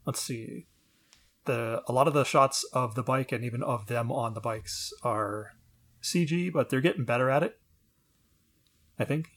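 A man's voice: mid-range voice, unhurried, pauses often, a bit bright. A man co-hosting a casual review podcast, speaking in an understated, tentative way. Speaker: podcast co-host. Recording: faint background noise.

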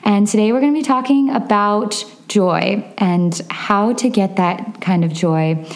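A woman's voice: medium pitch, a little slow. A woman in her thirties from Los Angeles County, hosting a podcast in upbeat, chatty conversation and laughing often. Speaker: podcast host. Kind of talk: casual interview chat.

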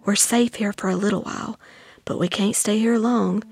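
A woman playing a calm, protective aunt in an audiobook, whispering guidance and folksy endearments to a child. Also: medium pitch, normal speed, vocal fry, breathy edge. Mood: soothing, hushed. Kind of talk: dramatized audiobook dialogue.

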